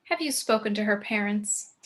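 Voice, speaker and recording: A woman's voice: mid-range voice, animated, moderate pace, a bit bright, close to an everyday voice. A woman playing a composed, genteel, macabre mother in a table read of a comedy script. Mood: serene. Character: composed, genteel macabre matriarch, serenely morbid.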